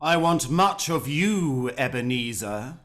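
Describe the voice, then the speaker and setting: A man playing the solemn ghost of a dead business partner in a radio play, with drawn-out, portentous delivery. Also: mid-range voice, lively intonation, fairly bright tone. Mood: solemn, menacing.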